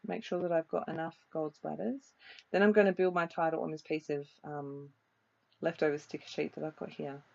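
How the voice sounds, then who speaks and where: A woman with a slightly croaky, rather low voice talks through a voice-over in a relaxed, chatty way, with plenty of ums. The recording is dull and lo-fi. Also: a little fast. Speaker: crafting video creator. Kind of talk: casual voice-over narration of a craft process.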